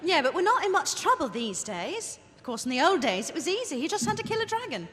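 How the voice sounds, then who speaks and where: A woman's voice: very high-pitched, sing-song, fast, fairly bright tone, heavily acted. A woman playing a muddled, apologetic, hesitant doorkeeper of a secret society in comic radio theatre. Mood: casual, puzzled. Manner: hesitant, bumbling. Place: stage in a hall. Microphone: stand microphone. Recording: echoey hissy live stage recording.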